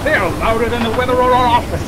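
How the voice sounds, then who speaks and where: A man's voice: extremely high pitch, racing, very noisy. A man playing a harried boatswain who bellows commands in a Shakespearean radio drama. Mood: exasperated. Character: gruff, harried boatswain bellowing orders in a storm. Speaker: actor in a Shakespeare audio play. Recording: roaring waves and shouting crew behind.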